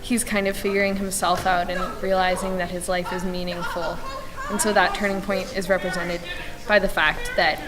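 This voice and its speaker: A woman's voice: lowish voice, flat delivery, moderate pace. A young female cast member explaining a stage production in an interview. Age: child or teenager. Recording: reverberant hall with voices behind.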